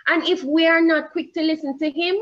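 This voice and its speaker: A woman with a Jamaican accent preaching a sermon in church, deliberate and emphatic, rising on her questions and pausing with fillers as she searches for words. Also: high voice, steady tone, highs cut off.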